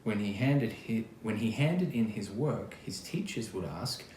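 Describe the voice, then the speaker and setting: An Australian-accented man reading a picture book to children as an actor, expressive and chatty, speaking straight to his young listeners. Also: fairly low pitch, a little slow. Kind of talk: children's storytime read-aloud with casual asides. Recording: roomy echo, clear background noise.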